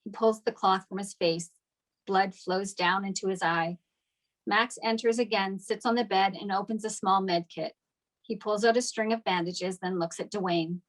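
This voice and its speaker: A woman's voice: medium pitch, fairly even intonation, moderate pace, low-quality recording. A woman giving scripted narration, reading out stage directions at a table read.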